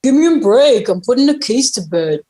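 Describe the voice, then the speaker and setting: A woman's voice: a little high, sing-song, moderate pace, mellow. A woman playing a defensive, needling police detective in a scripted table read.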